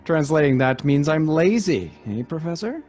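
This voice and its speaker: A man's voice: a little high, big pitch swings, normal speed, darkish tone. An actor playing a breezy, joking young male student with a wry, jaunty manner in an old-time radio drama. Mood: wry, teasing. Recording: music under the voice.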